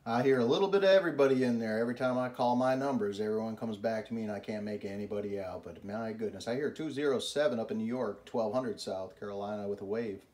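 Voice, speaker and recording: A man's voice: lowish voice, quick pace, few pauses. A man working as a CB radio operator, making casual, polite contact calls and reading out call signs in radio patter. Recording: very noisy radio static, clicks. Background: loud CB radio static.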